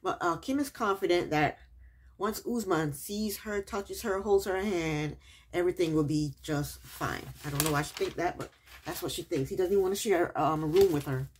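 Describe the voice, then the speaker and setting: A woman's voice: lowish voice, moderate pace, slightly rough. A woman recapping a reality TV episode in a casual, chatty way, with sarcastic asides and repeated punchlines.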